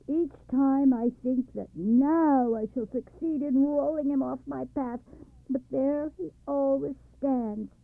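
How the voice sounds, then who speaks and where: A woman's voice: sits high, dry recording, voice pushed up. A woman voicing a gloomy, impatient male wind spirit who roars and complains. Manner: roaring, shouting. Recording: tinny phone-like recording.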